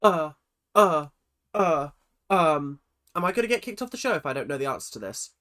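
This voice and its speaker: Man, host of a reaction vlog, nasal and stuffed up with a cold, crisp bright tone, excitable chatter. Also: fairly high pitch, moderate pace. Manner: excitable, chatty, swings between loud exclamations and muttered asides. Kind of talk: casual reaction commentary.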